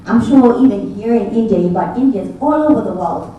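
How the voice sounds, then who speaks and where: A woman's voice: fairly high pitch, expressive, brisk, narrow, tinny audio. A young woman with a Zimbabwean accent giving a business presentation as a conference speaker. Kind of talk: presentation pitch to an audience. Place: indoor event room with tables. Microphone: handheld mic, picked up by far camera. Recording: distant, echoey room with faint noise.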